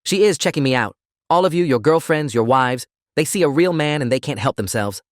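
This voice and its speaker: Man acting loud, raging, self-pitying gym bully. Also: on the high side, expressive, quick pace, no room sound, clean studio sound, voice pushed up, lightly acted. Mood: accusing, scornful. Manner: ranting, accusatory, loud.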